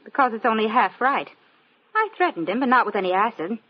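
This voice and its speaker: A plucky young woman for a classic radio mystery. The voice is rather high and direct, with a pert edge, on a muffled old recording. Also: lively intonation, fairly quick, husky. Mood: defiant. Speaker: radio drama actress.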